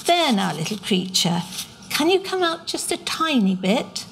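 Woman doing a gentle, soft-spoken boy. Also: fairly high pitch, very animated, a little slow, vocal fry, slight background noise, full character voice. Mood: soothing. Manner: soft and soothing. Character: gentle young boy, calm and kind.

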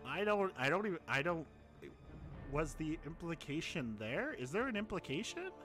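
A man's voice: fairly high pitch, big pitch swings, moderate pace, fairly bright tone, faint music behind. Young man, game streamer, casual chat, headset mic, home room, laughs at himself. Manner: chatty, rambling, laughs at himself.

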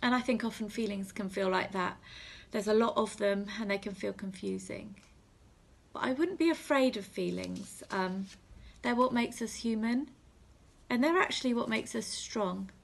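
A woman in her thirties with a husky, breathy voice, giving a gentle, reflective assembly talk as a reverend, measured and halting with pauses. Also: medium pitch. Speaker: reverend leading a school assembly. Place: small room at home. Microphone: phone or webcam at arm's length.

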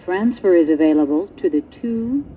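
A woman giving pre-recorded subway announcements in a measured, carefully enunciated, pieced-together delivery. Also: lively intonation. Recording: muffled train speaker, vehicle noise. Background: subway car rumble.

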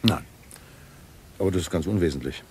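A low-voiced, shrewd secret-service doctor, dry and sardonic. He is a measured reactionary from a radio play, heard with faint background noise. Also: expressive, darkish tone. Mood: coolly insistent. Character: shrewd, cynical, reactionary male intelligence doctor. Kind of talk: German radio play acting.

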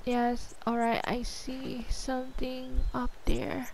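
High-pitched woman, slow hesitant gamer muttering. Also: completely flat delivery, creaky, darkish tone. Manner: hesitant, muttering short remarks to herself. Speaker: gamer playing and commenting. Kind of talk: casual gameplay commentary. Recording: noisy, game sound behind the voice. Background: video game ambience.

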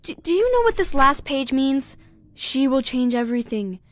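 High-pitched curious schoolgirl. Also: sing-song, normal speed, no echo. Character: curious, determined schoolgirl sister. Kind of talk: audio drama acting.

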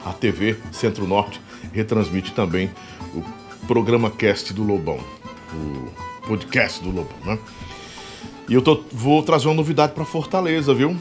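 Guy hosting a podcast, jokey and rambling, pitch jumps all over, faint music behind. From Piauí, Brazil. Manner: animated, joking, rambling. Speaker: podcast host. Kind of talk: casual chat.

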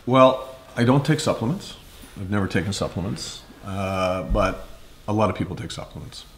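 A man in his fifties with a Canadian accent, telling his story in a casual interview in halting, hesitant phrases. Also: fairly low pitch, animated, a little hiss or hum. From Ottawa, Canada. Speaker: investor and mentor being interviewed. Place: home kitchen, indoors.

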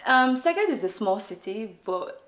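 A young woman, chatting warmly as an interview guest with lots of "like" fillers. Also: a little high, sing-song, a little slow, reverberant. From Nigeria. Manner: warm, upbeat, many "like" fillers. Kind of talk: casual interview answers. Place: indoor studio on a sofa. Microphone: off-camera shotgun mic.

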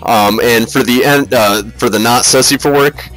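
A man's voice: mid-range voice, fairly bright tone, rough recording. Rambling, foul-mouthed man with music behind. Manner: rambling, halting, profane, mock-serious. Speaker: reviewer, video host. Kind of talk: casual comedic slideshow commentary.

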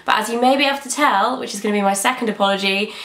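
A young British woman talks through her beauty purchases in a gushing, chatty way. Her voice is slightly croaky and congested from a cold. The sound carries a roomy echo from an indoor set. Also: medium pitch, rapid-fire, a bit bright. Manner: chatty, gushing about products. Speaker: beauty vlogger. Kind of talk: casual haul video chat. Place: bedroom filming set indoors.

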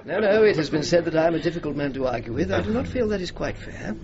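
Actor as measured English officer, slightly muffled. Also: male voice, a little high, expressive, crowd noise, natural voice. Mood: measured, resolute. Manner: measured, formal, deliberate. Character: determined, courteous senior British officer heading war graves work. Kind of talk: radio drama narration and dialogue. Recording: slightly muffled radio recording, background noise.

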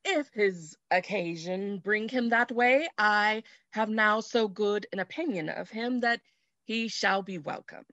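Dry, slightly dull call audio carries a woman acting a formal gentry mother in an old comedy. She speaks slowly and with stately respectability. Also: medium pitch, fairly bright tone, no echo, close to an everyday voice. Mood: gracious, measured. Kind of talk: classical stage comedy acting. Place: indoors at home, on a video call.

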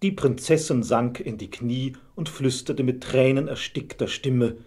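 Husky male fairy-tale storyteller. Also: medium pitch, unhurried, darkish tone. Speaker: storyteller, narrator. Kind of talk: scripted fairy-tale narration.

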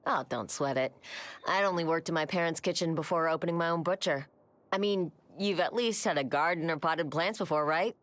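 A laid-back young woman butcher from a video game, with a lowish voice. She is casual and slightly awkward, recorded dry and close. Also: brisk, a bit bright, no echo. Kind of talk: video game character dialogue.

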